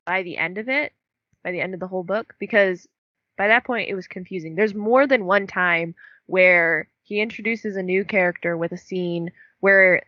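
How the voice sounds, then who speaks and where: A woman's voice: medium pitch, no room sound. A young woman co-hosting a book podcast, chatting casually with lots of fillers and laughing at herself. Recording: dull dry lo-fi recording.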